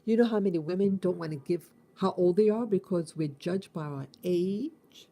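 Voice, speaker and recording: Woman, 50s, from the United States, very mellow dark voice, host of a morning talk, halting and repetitive. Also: fairly low pitch. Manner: halting, repeats and restarts, musing. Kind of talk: casual spoken morning reflection.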